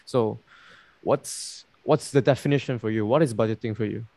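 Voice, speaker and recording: A man's voice: mid-range voice, normal speed, creaky, a little mellow, no room sound. Chatty young man hosting, dry sound. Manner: chatty, laughs often, many "like" fillers. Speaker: podcast host. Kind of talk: casual podcast chat.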